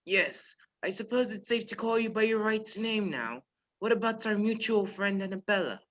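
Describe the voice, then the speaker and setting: A performer playing a polite, measured male professor who is secretly a menacing spy chief in a radio play. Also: mid-range voice, fairly bright tone, close to an everyday voice. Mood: probing. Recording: dull lo-fi virtual recording.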